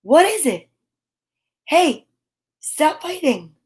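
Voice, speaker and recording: A woman's voice: high voice, sing-song, very slow, darkish tone. A woman hosting a children's storytime, reading a picture book aloud expressively with chatty asides. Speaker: storyteller and host.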